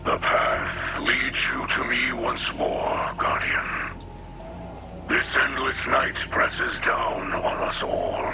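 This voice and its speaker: Slow, solemn alien mystic. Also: male voice, mid-range voice. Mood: weary, hopeful. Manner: solemn, formal, poetic, deliberate phrasing. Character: earnest, spiritual alien Fallen splicer mystic, patient mentor. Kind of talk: video game cutscene dialogue. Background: music.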